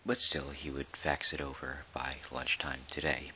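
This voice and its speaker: A man playing a measured, dry park employee who dictates an official audio log in a halting, deliberate way, in ASMR roleplay style. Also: rumbling low, little pitch movement, pushed and bright, a little hiss or hum, exaggerated voice. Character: male dinosaur park employee, measured, dryly put-upon record keeper.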